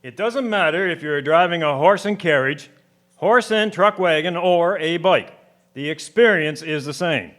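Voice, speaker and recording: A man acting a stubborn, highish-voiced country horseman. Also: measured pace, fairly bright tone. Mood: complaining. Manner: folksy, grumbling. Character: stubborn, plain-spoken rural 1890s horseman. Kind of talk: radio drama acting.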